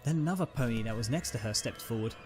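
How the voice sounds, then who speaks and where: A man narrates scripted dramatic fiction in a mid-pitched voice. Faint music plays behind the storytelling. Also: expressive, fast, darkish tone.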